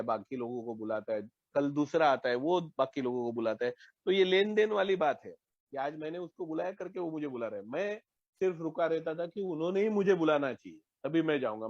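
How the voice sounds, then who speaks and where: A Marathi man from Maharashtra, giving a candid, reflective personal testimony at an online support meeting, with hesitant pauses and restarts. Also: fairly high pitch, darkish tone, dry recording, lo-fi sound. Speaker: recovering member sharing at a support meeting.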